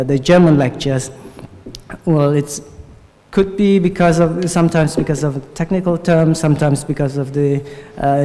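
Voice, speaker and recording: A man in his twenties with an Indonesian accent, speaking informally and haltingly as a student panelist into a table microphone. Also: on the high side, dark tone. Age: 20s. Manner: halting, searching for words. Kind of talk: informal spoken remarks at a panel discussion. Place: large lecture hall, at a panel table. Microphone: gooseneck table microphone. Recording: reverberant hall sound.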